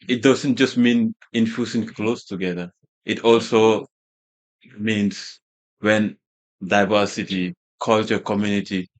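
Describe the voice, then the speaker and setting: A Gambian man whose first language is Wolof talks through a casual interview in a fairly low voice. He feels his way along with lots of fillers, repeats and restarts. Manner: hesitant, many fillers and restarts. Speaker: fashion brand founder, guest.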